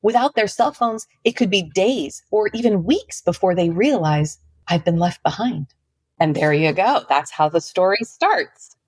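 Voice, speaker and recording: A woman's voice: fairly low pitch, expressive, measured pace, husky, dry recording. A woman author who speaks articulately and earnestly, reading expressively and explaining her work. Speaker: author, podcast guest. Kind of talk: expressive book reading and conversation.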